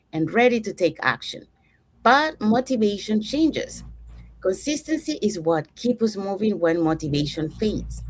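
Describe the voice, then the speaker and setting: A woman from Panama hosting an online business talk show, leading the segments and introducing the speakers. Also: mid-range voice. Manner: upbeat, guiding the segments. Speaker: host.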